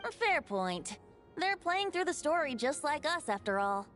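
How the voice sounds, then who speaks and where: A woman's voice: high voice, very animated, normal speed, fairly bright tone, soft background music. A woman voicing a clever, theatrical young game character who speaks with playful eloquence and poise. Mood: agreeable. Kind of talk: video game character acting.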